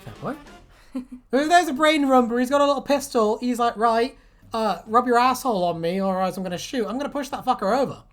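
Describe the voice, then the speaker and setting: A man's voice: extremely high pitch, brisk, faint music behind. A man hosting a casual chat in a rambling, joking way with crude humour. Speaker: host.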